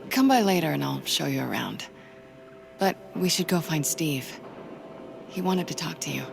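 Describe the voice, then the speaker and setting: A woman's voice: low-pitched, normal speed, creaky, over music. An actress playing a composed, resolute female spy in a video game, speaking in a cool, matter-of-fact way. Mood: tender, casual. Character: composed, resolute female spy, warm toward her old lover. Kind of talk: cinematic video game acting.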